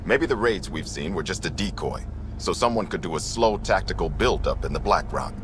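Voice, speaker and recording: A man's voice: low voice, expressive, moderate pace, noisy background. A man playing a serious, terse leader of a frontier outpost in a video game. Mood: worried. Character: gruff, dutiful outpost leader, guarded and serious.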